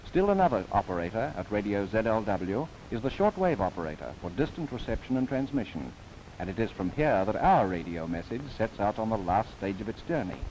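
A man from New Zealand giving formal, measured scripted narration for a 1930s government documentary film, recorded as a studio voice-over. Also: on the low side, big pitch swings, a little mellow, rough recording. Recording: old film soundtrack with faint hiss.

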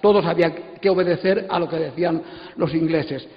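High-pitched older man, emphatic. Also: fairly quick. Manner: emphatic, animated, digressive. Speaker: panel speaker, comics artist. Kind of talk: impassioned conference talk. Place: auditorium stage. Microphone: handheld microphone. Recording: echoey hall PA, muffled.

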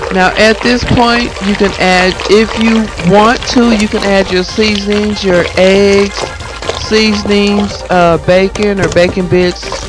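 A middle-aged woman talks to her viewers in a slow, steady, fairly flat way, her voice hoarse from a cold. She sounds keen and chatty as she walks through each step, with soft music playing clearly behind her. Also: mid-range voice, poor audio. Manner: chatty, enthusiastic. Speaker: cooking vlogger. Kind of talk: casual cooking vlog. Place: parked car. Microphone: phone camera held close.

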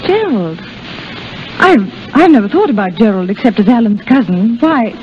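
A woman's voice: on the high side, big pitch swings, normal speed. A woman playing an eager, earnest, lovestruck young English girl in a 1930s radio drama. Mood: earnest, unsure. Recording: dull, noisy 1930s radio recording.

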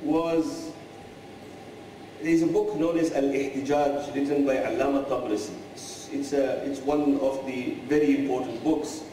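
A middle-aged man, a cleric, giving a religious lecture in a mosque hall, speaking in a measured, emphatic way and reciting Arabic verses. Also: mid-range voice, steady tone, dark tone, slight background noise. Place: large mosque hall, indoors. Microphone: clip-on mic. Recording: echoey hall, mic far from the voice.

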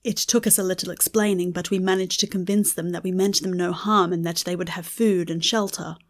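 A woman's voice: lowish voice, steady tone, fairly quick, lightly acted. A woman playing a sincere, hopeful storyteller in an audio drama. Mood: explaining.

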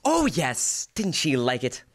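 A man's voice: mid-range voice, wildly swooping pitch, slow pace, heavily acted. A teenage boy playing a bumbling, nostalgic sitcom husband with flustered enthusiasm in old-time radio comedy style. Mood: puzzled, breezy. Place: school library room, stage microphone.

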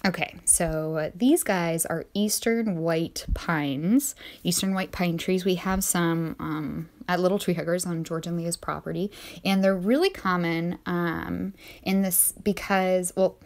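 A woman's voice: on the low side, fairly even intonation, unhurried. Bubbly young woman teaching kids outdoors. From eastern United States. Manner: chatty, bubbly and upbeat, talking to young children. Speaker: nature educator for children. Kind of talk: casual nature-walk lesson for kids. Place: outdoors in a sunny neighborhood. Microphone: phone held at arm's length.

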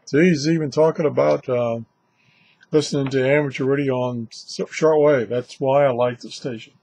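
Gravelly older man, casual and chatty. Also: mid-range voice, fairly even intonation, normal speed, darkish tone. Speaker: video host reviewing shortwave radios. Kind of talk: casual unscripted product vlog. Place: indoors, small cluttered radio room.

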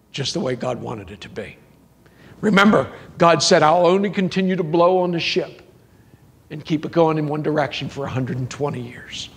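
A man preaching a sermon in a church, with rising emphatic phrases, drawn-out endings and rhetorical questions to the congregation. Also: a little high. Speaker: pastor.

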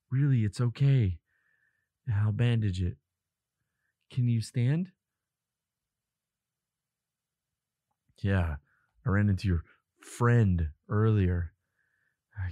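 A man acting a devoted, protective boyfriend in an intimate audio roleplay, speaking softly in halting, earnest reassurance. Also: fairly low pitch, no room sound. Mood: shaken, reassuring.

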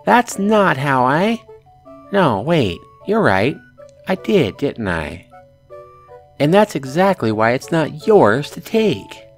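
A man's voice: on the high side, very animated, slow, soft background music, pitched above the performer's own voice. A man voicing a stubborn, indignant anime schoolgirl in a visual novel, with a girlish scolding manner.

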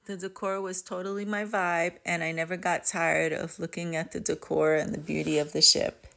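Woman, travel vlogger, lowish pitch, gravelly fry, casual voice-over review. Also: flat delivery, normal speed, fairly bright tone.

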